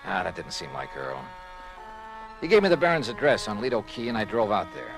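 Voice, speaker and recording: Old radio private eye, american, low gravelly voice, really expressive, polite but probing. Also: male voice, a little fast, soft background music, full character voice, low-quality recording. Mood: wry, reflective. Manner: hesitant, polite, patiently probing. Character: hard-boiled but courteous male private investigator. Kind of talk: 1950s radio detective acting, first-person narration.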